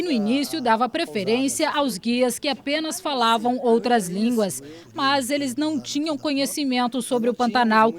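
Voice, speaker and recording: A woman reporter reading scripted news narration in an even, measured voice, with a Brazilian Portuguese accent. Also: high-pitched.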